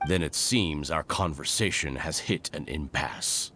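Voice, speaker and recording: An actor playing a stern, coolly menacing male antagonist with a formal, measured delivery in a dramatic video game. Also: very deep voice, bright, forceful tone, heavily acted. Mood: cold warning. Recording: music behind the voice.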